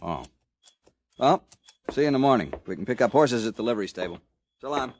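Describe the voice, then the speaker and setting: Actor as tired, boozy frontier reporter, folksy and blunt, noisy old broadcast. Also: male voice, medium pitch, expressive, fairly quick, darkish tone, close to an everyday voice. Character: weary hard-drinking frontier reporter, adult man. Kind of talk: 1950s radio drama acting.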